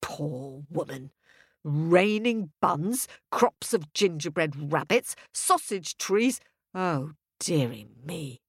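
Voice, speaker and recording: A woman's voice: fairly low pitch, animated, takes it slowly, husky, no echo, clean studio sound, heavily acted. A woman voicing a pompous, impatient king in a folk tale, imperious and blustering. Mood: scornful, dismissive. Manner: imperious, blustering, repeats himself. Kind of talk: storybook character voice.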